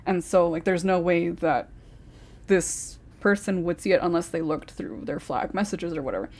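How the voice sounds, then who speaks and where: A woman's voice: fairly low pitch, moderate pace, darkish tone. A woman who writes scripts, chatting casually on a podcast and rambling with lots of fillers.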